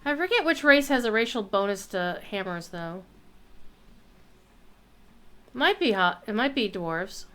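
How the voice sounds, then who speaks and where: Bright, clear-voiced woman streaming a game. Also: medium pitch, expressive, normal speed. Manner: talks to herself and viewers. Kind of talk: casual live gameplay chat.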